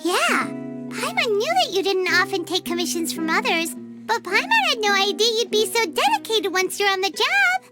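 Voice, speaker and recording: A woman voicing a tiny, cheerful, boastful childlike fairy companion in a video game, squeaky and exclamatory. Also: big pitch swings, moderate pace, loud music behind the voice. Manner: refers to self in third person, exclamatory. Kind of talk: video game cartoon-style voice acting.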